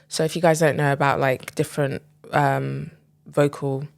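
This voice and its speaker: A woman's voice: low-pitched, little pitch movement, moderate pace, vocal fry, dry recording. A young woman in her twenties hosting a podcast, talking openly in a loose, chatty way with many "like"s and "um"s. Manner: chatty, lots of "like" and "um". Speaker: podcast host. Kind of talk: casual confessional monologue.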